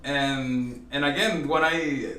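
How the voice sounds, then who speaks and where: A man's voice: medium pitch, fairly even intonation, slow pace, pushed and bright. Eager young man from Venezuela. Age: young adult. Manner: eager, stammering restarts. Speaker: musician. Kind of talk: casual interview chat. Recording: roomy distant mic with faint noise.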